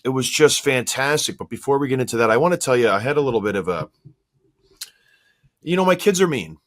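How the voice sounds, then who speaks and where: A man in his forties hosting a hockey podcast, slightly hoarse, telling self-mocking stories in a casual, animated way. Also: medium pitch, fast talker. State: a little hoarse. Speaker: podcast host.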